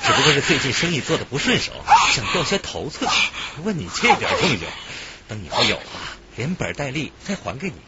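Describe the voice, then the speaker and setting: A man's voice: mid-range voice, sing-song, a little fast, very noisy, close to an everyday voice. An actor playing an ingratiating, falsely genial businessman conman in a radio drama. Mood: mock-soothing. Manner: chuckling, ingratiating. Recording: muffled, music behind.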